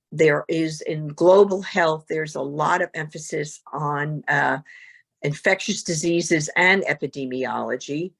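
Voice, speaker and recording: This is a woman with a low-pitched voice and a noticeable gravelly fry running through it. She presents a degree program at an online information session, heard through a video call. She talks haltingly, and her sentences keep breaking into fillers and restarts. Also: a bit bright.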